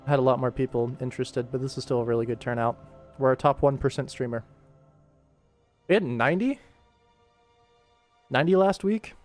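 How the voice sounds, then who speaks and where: A man's voice: medium pitch, darkish tone. A man hosting a casual livestream, thinking aloud in a laid-back way as he works. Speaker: animator, livestream host. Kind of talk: casual livestream work commentary.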